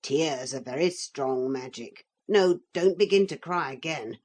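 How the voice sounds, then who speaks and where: A woman's voice: deep voice, lively intonation, unhurried, a little mellow. A woman reading a storybook, voicing a small wooden figure come to life who explains things patiently and plainly. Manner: patient, matter-of-fact explaining. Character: calm, wise little wooden man come alive.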